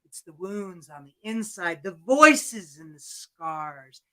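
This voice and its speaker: A woman voicing a wise, scarred old dragon, speaking dramatically with loud cries and slow, drawn-out words. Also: fairly low pitch, expressive. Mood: dramatic. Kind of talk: storytelling performance.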